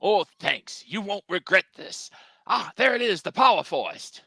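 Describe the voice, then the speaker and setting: Hapless, earnest cartoon hero, voiced by a man: melodramatic, lively swings, dry close sound. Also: high voice, normal speed, creaky, husky, dry recording, exaggerated voice. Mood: encouraging. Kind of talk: live dramatic table read of a cartoon.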